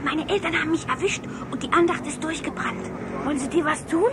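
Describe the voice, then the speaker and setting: A woman's voice: high-pitched, brisk, natural voice. A woman playing a precocious, bold little rich girl in a 1960s German radio play. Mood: confident, cheeky. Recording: noisy old radio play with street ambience.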